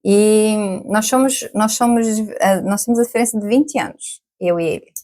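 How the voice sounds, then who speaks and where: A woman's voice: mid-range voice, normal speed, a little mellow. A woman in her forties, a podcast guest, chatting casually, laughing often and tagging questions onto her sentences. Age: 40s. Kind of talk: casual interview chat.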